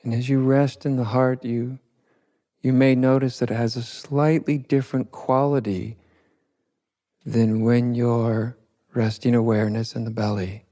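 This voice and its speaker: A man guiding a meditation as a teacher, speaking in soft instruction with halting short phrases and long pauses. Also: medium pitch, flat delivery. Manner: unhurried, halting, pausing between short phrases. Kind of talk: guided meditation, softly spoken instruction.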